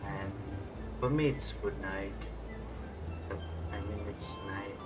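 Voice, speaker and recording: Male streamer, lowish voice, little pitch movement, halting casual chat over clearly audible game music. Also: steady tone. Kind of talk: casual livestream sign-off.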